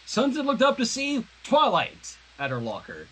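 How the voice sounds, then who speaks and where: A man reading fan fiction aloud on a livestream, mixing animated narration with casual asides. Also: very high voice, moderate pace, husky.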